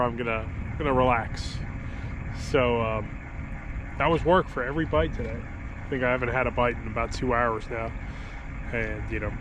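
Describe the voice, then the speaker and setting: A man talks through his day in a loose, rambling vlog style, chuckling now and then, his nose stuffed up from a cold. He is outdoors by the water in winter. Wind and water noise are clearly heard behind him. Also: medium pitch. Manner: rambling, relaxed, chuckles between thoughts. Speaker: fishing vlogger. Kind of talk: casual outdoor vlog commentary.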